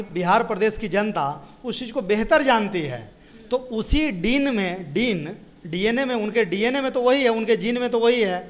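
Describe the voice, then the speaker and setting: A man with a Bihari accent giving political commentary as a party spokesperson, emphatic and repetitive, often posing rhetorical questions. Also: high voice.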